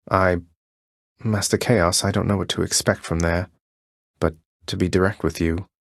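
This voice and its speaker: A young underworld prince from a video game, speaks in a low, close, dry-recorded voice. He is cocky yet polite, with an understated, wry delivery. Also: no echo. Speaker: voice actor playing a video game hero. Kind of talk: video game voice lines, short combat barks and dialogue.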